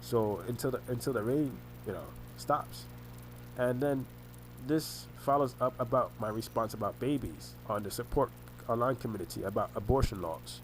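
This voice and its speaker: Halting, congested man. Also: medium pitch, slight background noise, poor audio. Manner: halting, restarts and repeats words often. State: congested, sore throat. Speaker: vlogger recounting family conflicts. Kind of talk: rambling personal monologue over a screen recording.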